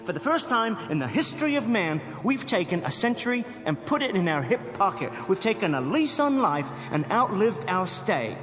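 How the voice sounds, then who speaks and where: An actor playing an educated, haughty criminal mastermind in a radio drama, speaking in a formal, theatrical, articulate way. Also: male voice, sits high, very animated, moderate pace, few pauses, a bit bright. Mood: grandly eloquent. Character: educated, haughty criminal mastermind nicknamed Professor, wary and verbose.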